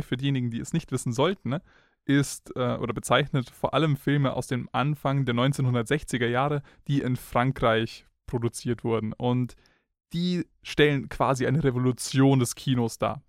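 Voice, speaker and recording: A man's voice: mid-range voice, moderate pace, dry recording, studio quality. A young man hosting a casual film podcast, joking and laughing through loose slangy banter. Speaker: podcast host.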